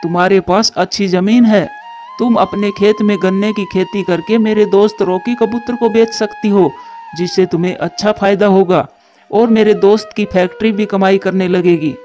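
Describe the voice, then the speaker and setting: Man voicing an earnest high-pitched cartoon crow. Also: fairly even intonation, normal speed, a little mellow, highs cut off, faint music behind, lightly acted. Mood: friendly, explaining. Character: helpful, dutiful male crow in a children's fable. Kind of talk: Hindi cartoon voice acting.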